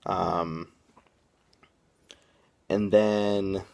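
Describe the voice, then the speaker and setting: A man from the Boston area hosting a podcast, rambling along in casual chat with frequent "um" pauses. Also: low voice, level tone, vocal fry, a little mellow. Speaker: podcast host.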